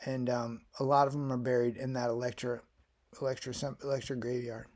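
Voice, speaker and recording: A man's voice: mid-range voice, level tone, fairly quick, darkish tone. A man in his fifties from Florida, talking through his health and family history in a casual vlog, rambling and often restarting his sentences. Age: 50s. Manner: rambling, with frequent restarts and fillers. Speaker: vlogger.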